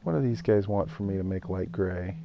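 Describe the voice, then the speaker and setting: A man's voice: sits low, a little fast, vocal fry, highs cut off. A man streaming a building game live, thinking aloud and chatting with viewers. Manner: thinks aloud while building, talks to chat. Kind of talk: casual live gameplay chat.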